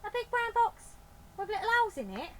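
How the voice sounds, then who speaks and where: A woman voicing an excitable working-class lady burglar and loving mum in a storybook, with colloquial, ungrammatical, exclaiming speech. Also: extremely high pitch, fairly quick, a little hiss or hum, pitched above the performer's own voice. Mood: surprised.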